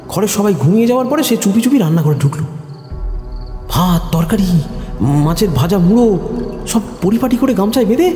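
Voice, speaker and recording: Rustic young husband in audio horror drama, hesitant and folksy, very lively intonation, music underneath. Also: male voice, high voice. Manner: hesitant, halting, folksy storytelling with fillers. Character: rustic young husband nervously recounting village ghost tales. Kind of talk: Bengali audio horror story acting. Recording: echoey reverb with music underneath.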